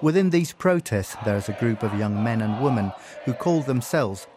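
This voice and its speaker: Guy correspondent reading his news report, calm and measured, mid pitch. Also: crowd noise, background noise. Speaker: foreign correspondent. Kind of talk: scripted radio report.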